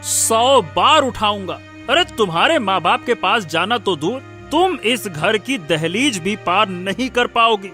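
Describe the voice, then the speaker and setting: A man voices a harsh husband in a cartoon moral tale. His voice is high and sharp, scolding and menacing, full of irritable sarcasm. There is music underneath. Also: expressive, unhurried, hardly any pauses, full character voice. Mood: threatening. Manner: scolding, sarcastic rhetorical questions. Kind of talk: cartoon moral-story voice acting. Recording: music behind the voice.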